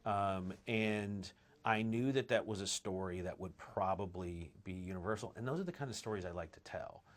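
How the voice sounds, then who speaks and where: A man's voice: low-pitched, moderate pace. A man, a film director, talking in a casual interview with enthusiasm and frequent restarts. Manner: enthusiastic, restarts phrases often.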